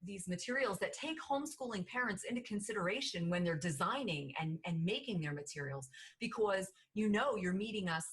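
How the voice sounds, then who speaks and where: A woman's voice: medium pitch, expressive, brisk, a bit bright. A woman with a New Jersey accent, hosting a podcast in a rambling casual chat full of restarts and fillers. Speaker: podcast host.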